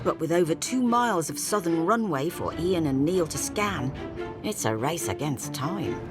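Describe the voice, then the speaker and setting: A woman's voice: low voice, animated, moderate pace, over music. A woman giving scripted documentary narration with a wry, playful delivery.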